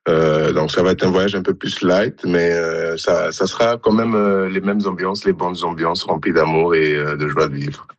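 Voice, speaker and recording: A man's voice: deep voice, steady tone, moderate pace, no echo, highs cut off. A man from Congo, a musician guest on a radio interview, answering with many hesitations and restarts.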